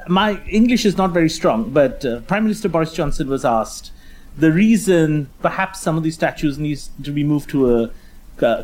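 A man's voice: on the high side, darkish tone. A man from India chatting as a comedy panellist in a conversational, mock-earnest way. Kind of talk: satirical comedy chat.

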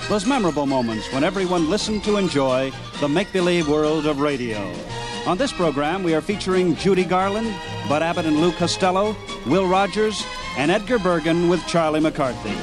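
A man's voice: on the high side, a little fast, poor audio. A man hosting a radio program, announcing in scripted, nostalgic narration. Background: music.

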